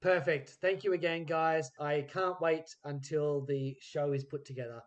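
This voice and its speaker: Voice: mid-range voice, fairly even intonation, normal speed. Casual, encouraging podcast interviewer.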